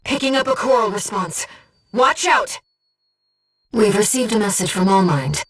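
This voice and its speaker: Breathy, calm sci-fi guide. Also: female voice, medium pitch, far from the mic. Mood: urgent, alarmed. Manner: measured, precise, earnest. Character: calm, devoted disembodied female voice in a pilot's head. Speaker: mission support guide to a mech pilot. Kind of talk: video game voice acting, tactical briefings.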